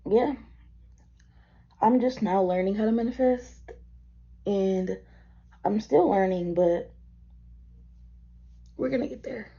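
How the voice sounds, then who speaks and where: A woman's voice: slow pace, pauses often, mellow. Tired woman, 21, rambling vlogger chat, casual swearing, filmed at her desk on dull lo-fi camera audio. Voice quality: a little deeper than usual from tiredness. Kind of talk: casual get-ready-with-me chat.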